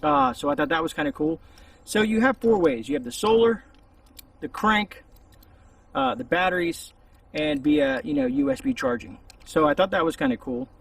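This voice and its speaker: A man's voice: very high-pitched, expressive, moderate pace, creaky, a bit bright. A man hosting a casual, unscripted gear review, talking to camera in a rambling, conversational way outdoors. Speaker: host of a gear review channel. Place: outdoors in a shaded spot. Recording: distant mic with faint outdoor noise.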